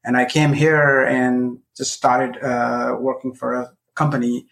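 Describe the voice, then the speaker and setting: A man in his forties from Nepal, a podcast guest talking in a friendly way, pausing often with fillers. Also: medium pitch, level tone, gravelly, a bit bright, poor audio. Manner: friendly, halting with many fillers. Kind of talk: casual interview chat.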